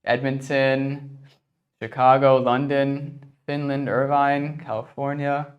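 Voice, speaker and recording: A man's voice: medium pitch, level tone, takes it slowly, lo-fi sound. A man from the United States with an American accent, hosting an informal webinar in a conversational, hesitant way. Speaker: webinar host.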